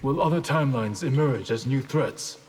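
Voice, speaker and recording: An actor playing a dutiful, earnest male ninja warrior in a video game, serious and formal, with a husky voice. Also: medium pitch, moderate pace, darkish tone, lightly acted. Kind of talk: video game character dialogue.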